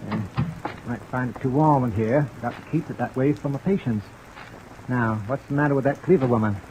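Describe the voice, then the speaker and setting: An actor playing a plain-spoken rural convalescent home keeper in an old-time radio drama, dry, clipped and countrified. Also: male voice, mid-range voice, fairly quick, natural voice. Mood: wary, brisk. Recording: old radio recording under rain noise.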